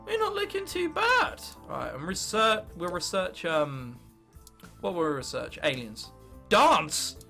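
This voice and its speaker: A young man with an English accent commentates casually on a video game. His voice is high and bright and swings around a lot in pitch. He is irreverent and sarcastic, often talking to the game itself. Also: big pitch swings, unhurried, gravelly, faint music behind. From England. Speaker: gaming video host.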